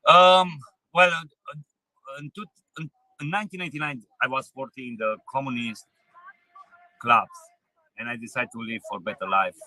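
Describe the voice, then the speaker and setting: A man in his forties from Romania, a trucker with a Romanian accent, answering interview questions plainly in halting non-native English. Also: medium pitch, bright, forceful tone, no room sound, low-quality recording. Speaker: truck driver being interviewed. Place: inside a truck cab.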